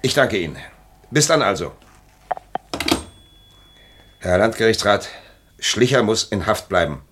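An actor playing an earnest, frustrated public prosecutor in a German historical crime radio drama, speaking formally and insistently. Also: male voice, lowish voice, animated, a little slow, fairly bright tone, husky, full character voice. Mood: businesslike.

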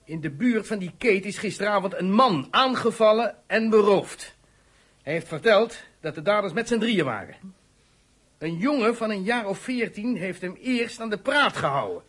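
Schorre, hoge, strenge politieondervrager. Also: male voice, high-pitched, lively intonation, moderate pace, a bit bright, breathy edge, heavily acted. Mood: sceptical, probing. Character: stern, pressing male police interrogator, impatient and sceptical. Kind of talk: Dutch radio drama acting.

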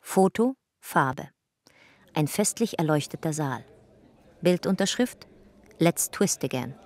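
A woman's voice: deep voice, expressive, slow pace, darkish tone. A woman narrator reading scripted narration and credits in clipped, matter-of-fact phrases. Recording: music behind.

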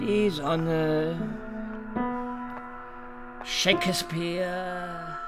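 A young intellectual, drunk and pompous, for a radio play. The voice is high for a man and slow, halting and declamatory as he philosophises. Piano music sounds loudly behind him.

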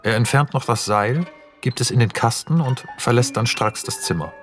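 A man's voice: mid-range voice, moderate pace, soft background music. A man narrating scenes and stage directions for a radio play in a precise, matter-of-fact way.

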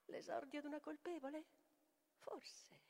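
A woman's voice: sits high, steady tone, measured pace, a little mellow. A woman playing a tormented sorceress bent on annihilation, declaiming a theatrical tragic monologue in a volatile manner. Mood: haunted, confessing. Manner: declamatory, volatile, swings from whispers to cries.

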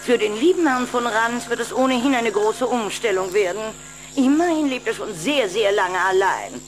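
A woman's voice: fairly high pitch, animated, lightly acted. A woman playing a haughty, scolding vampire aunt in a German children's audio play, with stern, theatrical delivery. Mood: gushing, grand. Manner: theatrical, stern, drawn-out pronouncements. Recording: music behind the voice.

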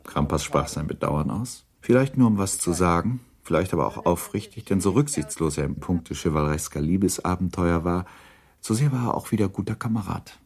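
A man narrating a literary radio drama in a measured storytelling manner. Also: sits low, a little mellow, breathy edge.

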